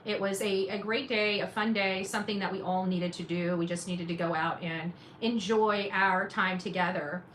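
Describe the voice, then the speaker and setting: A woman's voice: medium pitch, steady tone, normal speed, few pauses, fairly bright tone, low-quality recording. A woman doing casual livestream commentary to her viewers, speaking emphatically, repeating phrases for weight and adding sarcastic asides. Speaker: independent journalist and livestream host. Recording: clear background noise behind the voice.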